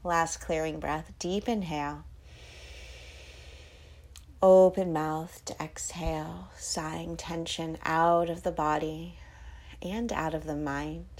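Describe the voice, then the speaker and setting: Slow, low-pitched woman guiding meditation. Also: flat delivery, a little mellow. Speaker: guided meditation host. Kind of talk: guided sleep meditation, soothing instruction.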